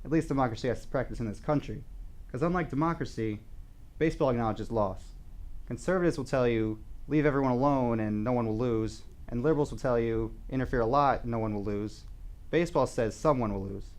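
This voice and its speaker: A man's voice: mid-range voice, a little fast, lightly acted. A man performing a dramatic monologue as an earnest, philosophical sports devotee, reflective and rhetorical. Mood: assured.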